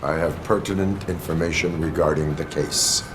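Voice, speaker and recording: An actor playing a stoic cyborg police officer in a video game, speaking stiffly and formally in a deadpan, robotic way. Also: male voice, rumbling low, moderate pace, a little hiss or hum. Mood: stern, flat. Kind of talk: scripted game dialogue.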